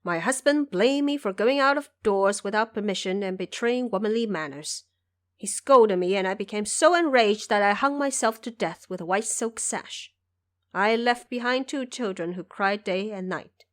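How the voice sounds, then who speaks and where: A woman's voice: mid-range voice, moderate pace, close to an everyday voice. A woman voicing an indignant, proud and bewildered village wife in an audio drama, emphatic and scolding.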